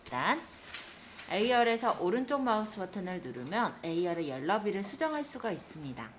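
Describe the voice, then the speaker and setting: A woman instructor giving a polite, step-by-step spreadsheet software tutorial, guiding listeners through each action. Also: on the low side, a little hiss or hum. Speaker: computer skills instructor.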